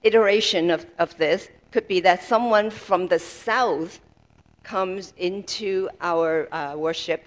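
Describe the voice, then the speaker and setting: A woman interpreting a sermon aloud, haltingly, piecing sentences together in short fragments. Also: fairly low pitch.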